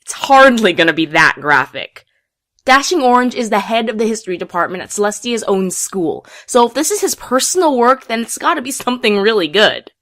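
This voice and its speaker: A woman's voice: on the high side, big pitch swings, fairly quick, fairly bright tone. A woman playing an enthusiastic, theatrical young female unicorn who explains things eagerly, in a fan audio drama. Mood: persuasive, upbeat.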